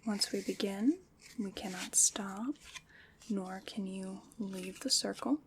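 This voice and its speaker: Woman as a slow, whispering ASMR witch. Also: medium pitch, background noise. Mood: gentle, reassuring. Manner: hushed, slow, deliberate whispering. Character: calm witch leading a Samhain ritual, hushed and soothing. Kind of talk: ASMR roleplay, whispered and softly spoken.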